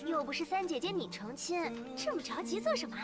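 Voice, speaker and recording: High-voiced, teasing young maiden. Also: female voice, very animated, quick pace, over loud music. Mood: playful, excited. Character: giggly, teasing, haughty young maidens in a period fantasy. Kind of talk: cinematic game cutscene acting. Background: loud cinematic score.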